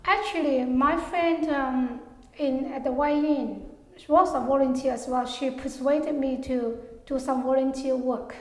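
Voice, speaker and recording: A middle-aged woman from Hong Kong talks through her memories in a fairly high voice, pausing often on fillers and letting her sentences fade out. The room is echoey, with a long reverb tail behind her. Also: steady tone. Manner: hesitant, many fillers, soft trailing endings. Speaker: interviewee. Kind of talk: oral history interview.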